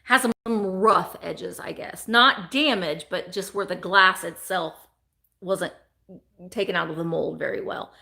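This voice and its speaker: A woman's voice: on the low side, a little slow, creaky, pushed and bright. A woman in her forties hosting a live vintage sale from home, chatting and joking as she shows items. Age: 40s. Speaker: online vintage seller.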